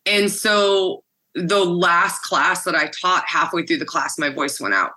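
A woman in her forties speaks in a lowish, raspy voice that still cuts through with a bright, forceful edge. She tells her story candidly and conversationally, with plenty of ums and uhs as she works through it. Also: measured pace, a little hiss or hum. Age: 40s. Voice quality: deep, raspy voice that tires easily. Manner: candid storytelling with frequent fillers. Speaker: guest, former butcher shop owner. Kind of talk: casual interview conversation.

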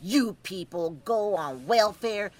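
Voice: mid-range voice, animated, takes it slowly. A woman playing a haughty, hostile older woman, scolding indignantly in sketch comedy.

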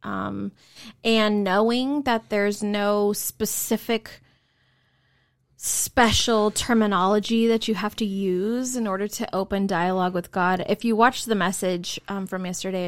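A woman's voice: medium pitch, fairly even intonation, moderate pace, gravelly, no room sound. Earnest woman talking about prayer. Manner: earnest, friendly, uses fillers. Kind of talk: casual faith discussion.

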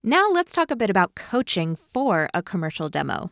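A woman podcast host speaking in a measured, explanatory way, close to the microphone. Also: medium pitch, sing-song, vocal fry, fairly bright tone, no room sound, faint music behind. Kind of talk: instructional podcast hosting.

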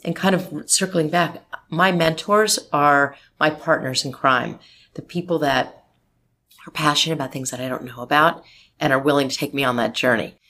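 A woman's voice: sits low, moderate pace, a bit bright. A woman in her forties, a marketing executive, talking in an interview in an emphatic, outspoken and optimistic way. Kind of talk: interview conversation.

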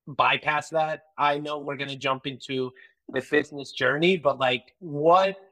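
A man's voice: fairly high pitch, fairly even intonation, a little slow, sharp tone, rough recording. A man hosting a podcast interview, reading a guest introduction and then chatting casually and enthusiastically. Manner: enthusiastic, reads long intro then chats. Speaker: podcast host.

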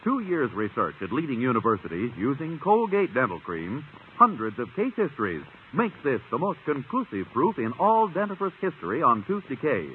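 American guy doing vintage radio ad, highish voice, big swooping emphasis, tinny old recording. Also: a little hiss or hum. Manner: emphatic, polished salesmanship. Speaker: radio announcer. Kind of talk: 1950 radio commercial announcing.

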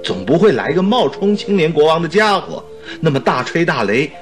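An actor playing an eager, talkative doctor and detective's sidekick in a radio drama, exclaiming theatrically. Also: male voice, fairly high pitch, very animated, moderate pace, soft background music. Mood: curious. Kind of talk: Mandarin radio drama acting.

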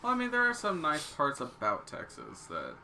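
A man chatting casually in a group, animated and quick to laugh. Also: on the high side, slight background noise. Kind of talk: casual chat.

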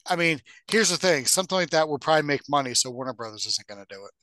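A man with a fairly high, sharp and bright voice chats casually as a podcast co-host. He banters in a joking, sardonic way. The recording is dry and close. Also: expressive, racing, no echo. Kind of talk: casual bantering chat about games and films.

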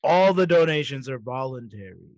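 A man's voice: medium pitch, animated, normal speed, darkish tone, no room sound. Guy in his 30s chatting loosely, restarts a lot, dry slightly dull sound. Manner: rambling, self-correcting, laughs easily. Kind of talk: casual chat.

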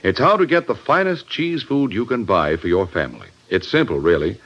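A man's voice: lowish voice, animated, a little fast. An American man reading a scripted commercial as a radio announcer, on a slightly muffled vintage broadcast recording. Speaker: radio announcer and sponsor pitchman.

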